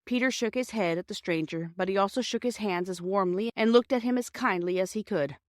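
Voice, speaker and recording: Female audiobook narrator, children's story; medium pitch, dry recording. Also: brisk, dry recording.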